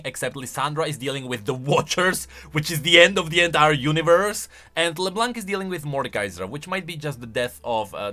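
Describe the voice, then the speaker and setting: Excitable bright-voiced man commenting on games. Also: medium pitch. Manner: excitable, emphatic. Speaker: gaming YouTuber. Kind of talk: casual lore commentary, reacting to voice lines.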